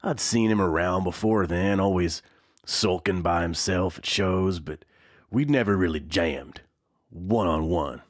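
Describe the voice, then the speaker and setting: A man's voice: low-pitched, measured pace, dry recording, clean studio sound. A man playing a wry veteran musician, folksy and salty, reminiscing in a mock documentary interview. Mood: nostalgic, admiring.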